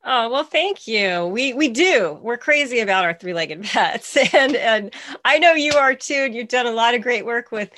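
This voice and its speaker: A woman co-hosts a casual interview with eager enthusiasm, often doubling her first words. Her voice is bright and sits fairly high. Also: expressive, normal speed. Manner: warmly enthusiastic, stumbling over repeated words. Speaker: co-host.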